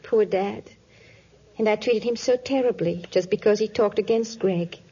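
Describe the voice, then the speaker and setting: A woman's voice: medium pitch, normal speed, dark tone, breathy edge. A woman playing an earnest, distressed young planter's daughter in breathless, emotional 1950s radio drama style. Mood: distressed, grieving. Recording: dull vintage 1950s radio recording.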